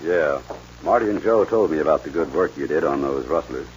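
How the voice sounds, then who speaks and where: Deep-voiced fatherly ranch boss. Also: expressive, a little fast. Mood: kindly, serious. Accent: American. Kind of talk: 1940s radio western acting. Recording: muffled, faintly noisy 1940s radio recording.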